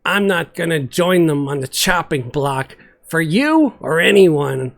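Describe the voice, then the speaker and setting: A man as a curt, slow-talking dungeon jailer. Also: medium pitch, expressive, vocal fry. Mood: surly. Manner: curt, warning.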